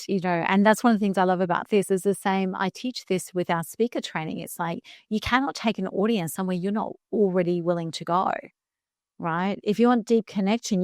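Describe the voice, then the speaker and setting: A woman in her fifties speaks with earnest conviction, leaning into her points and slowing down to land the important words. Her voice sits in an ordinary middle pitch. It is recorded close to the mic, with a dry, dead sound. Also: a little mellow, no room sound. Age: 50s. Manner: earnest, emphatic, slows down for key phrases. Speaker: guest speaker coach. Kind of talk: reflective podcast conversation.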